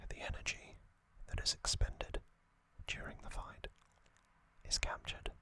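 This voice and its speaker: An ASMR host whispering a relaxed trivia recap, soft and unhurried, with hesitant fillers and small self-corrections. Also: dry recording. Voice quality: fully whispered, breathy.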